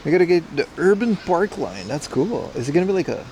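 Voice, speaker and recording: A man's voice: a little high, big pitch swings, brisk, a little mellow, slight background noise, poor audio. A man chatting casually on a walking livestream, reading chat aloud and joking with mock outrage. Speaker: IRL streamer. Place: outdoors on city streets.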